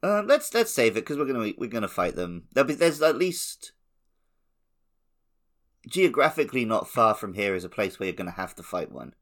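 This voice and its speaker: A man's voice: mid-range voice, big pitch swings, normal speed, a bit bright. A man co-hosting a podcast, talking casually about a video game. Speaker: podcast host.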